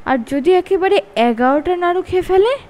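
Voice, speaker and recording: A woman's voice: extremely high pitch, expressive, moderate pace, a little mellow, pitched above the performer's own voice. Woman doing a whiny greedy little boy. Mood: anxious. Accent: Bengali.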